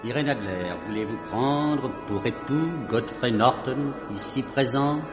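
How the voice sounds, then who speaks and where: Un acteur jouant un prêtre de fiction radiophonique, insistant et solennel, au ton déclamatoire. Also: male voice, mid-range voice, a little slow, natural voice. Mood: solemn. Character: brisk, fussy priest officiating a hurried wedding. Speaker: priest. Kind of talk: French radio drama acting. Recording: dull, very echoey church-like hall, music behind.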